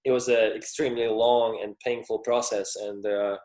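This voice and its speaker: Low-voiced man, echoey room. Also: little pitch movement, moderate pace, a little mellow. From Russia. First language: Russian. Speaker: guest, professional tennis player. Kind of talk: casual interview talk.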